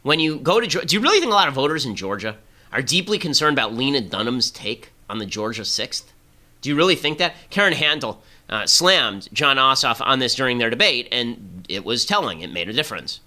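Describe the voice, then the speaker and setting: Rapid-fire man, sharp bright voice. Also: mid-range voice, big pitch swings. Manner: rapid, emphatic, argumentative. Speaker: political podcast host. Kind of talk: fast political commentary and ad read.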